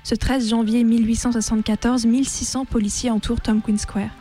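A woman's voice: fairly high pitch, little pitch movement, a little fast, mellow, faint music behind. A woman reading scripted documentary narration.

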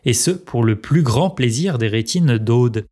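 A man's voice: mid-range voice, expressive, a little slow, warm tone. A man co-hosting a podcast, reading a prepared script in a conversational tone. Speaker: podcast co-host. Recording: music playing behind the voice. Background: music.

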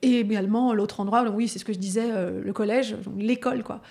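A woman from the Lyon area of France tells personal stories in a podcast conversation. She hesitates often and fills her pauses as she goes. Her voice sits at an ordinary middle pitch. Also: fairly even intonation, creaky, a little mellow. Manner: hesitant, frequent fillers, storytelling. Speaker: podcast guest and speaker. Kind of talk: casual reflective conversation.

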